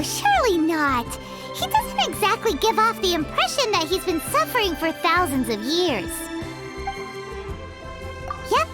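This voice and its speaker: A woman voicing a small, excitable female fairy companion who chatters about herself in the third person. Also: very high-pitched, full character voice. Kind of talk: video game cutscene voice acting. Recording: game soundtrack music behind.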